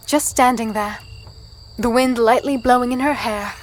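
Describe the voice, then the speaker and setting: A plucky, spirited female fighter, played by an actress, narrating and sparring in a fantasy audio drama. Her voice is on the high side, earnest and feisty. Soft music runs faintly underneath. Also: steady tone, normal speed, lightly acted. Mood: tense storytelling. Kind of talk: first-person dramatic narration and dialogue.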